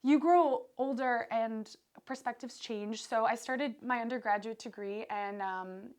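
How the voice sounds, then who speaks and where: A woman's voice: a little high, measured pace, a little mellow. A young adult woman from Canada, a PhD student, chatting loosely in an interview with frequent laughs and restarts. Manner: chatty, rambling, laughs often. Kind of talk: casual conversational interview.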